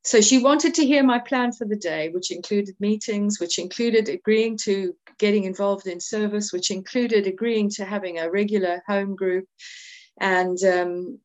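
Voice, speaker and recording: A middle-aged woman from South Africa with a South African accent, sharing her recovery story in a heartfelt, halting way. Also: mid-range voice, low-quality recording. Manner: heartfelt, halting, many restarts. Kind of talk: personal testimony at a recovery meeting.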